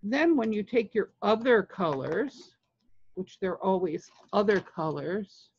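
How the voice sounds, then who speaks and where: A woman in her sixties talks through an art technique informally. She speaks slowly, in short halting phrases with long pauses. The sound is dry and slightly dull, like a lo-fi webcam recording. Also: mid-range voice, lively intonation, darkish tone, breathy edge, no echo. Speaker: colored pencil artist demonstrating techniques. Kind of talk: informal art tutorial talk. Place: indoors, home studio room with framed art.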